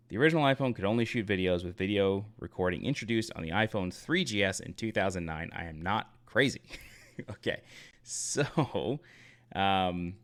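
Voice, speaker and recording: A man's voice: low voice, a bit bright, clean tone. A man in his thirties from Long Island tells a personal story in a casual, rambling way. He corrects himself often and uses many fillers. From Long Island, New York. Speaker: YouTube channel host.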